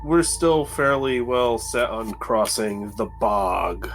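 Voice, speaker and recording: A man's voice: mid-range voice, lively intonation, slow pace, soft background music. A man in casual conversation as a player in a tabletop game.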